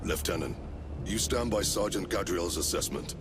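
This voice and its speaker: An actor playing a grave, imperious chapter master of space marines, terse and commanding, in a video game cutscene. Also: male voice, sits low, animated, moderate pace, noisy background. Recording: loud cinematic music behind.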